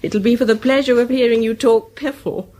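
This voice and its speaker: A woman's voice: fairly high pitch, fairly even intonation, fairly quick, mellow, close to an everyday voice. An actress playing a disillusioned, dryly witty English woman in a British radio drama, subdued and weary. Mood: wry, wistful. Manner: subdued, wry.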